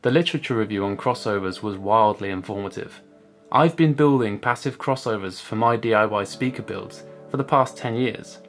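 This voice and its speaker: A man's voice: lowish voice, normal speed. Man presenting a project, music behind. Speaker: master's student presenting a project. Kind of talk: scripted explainer to camera. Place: small indoor room at home.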